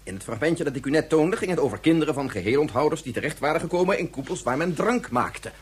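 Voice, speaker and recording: An actor playing an earnest scientist in a science fiction radio drama, arguing in a formal, deliberate way. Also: male voice, on the high side, animated, breathy edge. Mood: insistent, explaining. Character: earnest male scientist and father, insistent, methodical.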